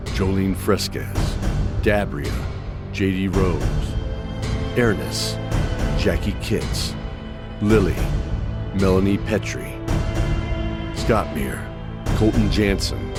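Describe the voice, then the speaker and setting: A man reading a scripted podcast outro as an announcer, with a formal delivery. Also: low voice. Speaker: narrator and announcer reading credits.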